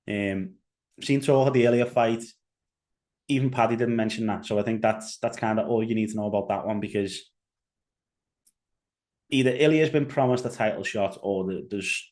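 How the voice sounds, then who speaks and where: A man's voice: on the low side, a little mellow. A young man with a Liverpool accent giving casual solo commentary as a podcast host, rambling with fillers and restarts. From England.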